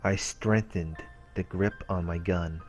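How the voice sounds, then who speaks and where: A man's voice: deep voice, fairly even intonation, slow, highs cut off. A man reading visual novel text aloud as a let's play host, in a narrating style. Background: soft game music.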